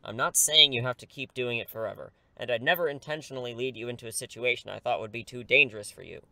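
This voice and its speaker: A man playing a bright, creaky-voiced earnest superhero teacher. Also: medium pitch, fast talker, dry recording, low-quality recording. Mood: earnest pleading. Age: 30s. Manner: pleading, wryly self-deprecating. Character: earnest, persuasive male teacher, secretly a superhero. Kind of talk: audio drama acting.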